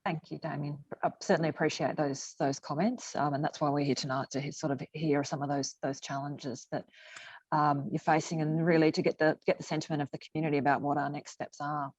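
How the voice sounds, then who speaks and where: A woman's voice: sits low, level tone, brisk, darkish tone, dry recording, poor audio. A woman moderating a council information session, thanking speakers and passing the floor on politely. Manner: polite, facilitating. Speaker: meeting moderator. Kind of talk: chairing a public meeting.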